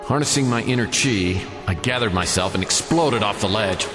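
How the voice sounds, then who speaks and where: An American man playing a down-on-his-luck private detective in a noir radio drama, narrating with deadpan, self-mocking dryness. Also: fairly low pitch, normal speed, long reverb tail, natural voice. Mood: wry, brooding. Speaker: lead character and narrator. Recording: studio radio drama over music. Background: prominent music.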